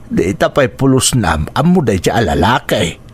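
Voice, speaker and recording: A man acts out an older village father in a radio drama, stern and weighed down by care. He speaks at a middling pitch with an Ilocano Filipino accent. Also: very animated, normal speed, husky. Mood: stern, troubled.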